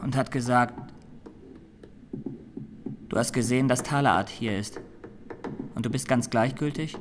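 An actor playing a young man on trial in a radio drama, haunted and resolute, speaking in plain, earnest, deliberate statements. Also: medium pitch, background noise, lightly acted. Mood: haunted, grave. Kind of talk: German radio drama, courtroom testimony.

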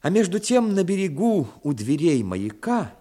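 A man narrating a 1960s radio play as a solemn, expressive storyteller. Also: a little high, moderate pace. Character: solemn storyteller of a seaside tale. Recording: 1960s radio recording with music. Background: music.

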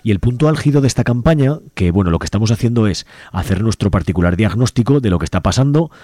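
A man with a deep, low voice reads out upcoming events on a community radio show. His delivery is easygoing and conversational, and he often ends his points with a questioning "right?". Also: no room sound. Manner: relaxed, conversational, tags lines with a questioning "right?". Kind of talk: casual radio announcements.

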